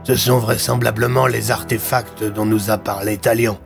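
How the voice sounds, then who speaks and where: A man playing a serious police commissioner who speaks in a formal, measured manner. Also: fairly low pitch, fairly even intonation, gravelly, soft background music. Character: serious, gravelly police commissioner giving orders.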